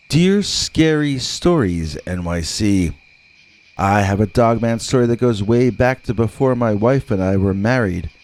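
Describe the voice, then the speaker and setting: A man reading scripted scary stories as a narrator, with dramatic, deliberate delivery and drawn-out words. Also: on the low side, darkish tone.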